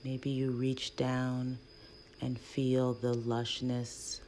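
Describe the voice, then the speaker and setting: Very deep-voiced woman guiding a slow meditation. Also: monotone. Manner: hushed, soothing, with long pauses between phrases. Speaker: guided meditation host. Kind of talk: guided meditation narration. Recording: faint background noise.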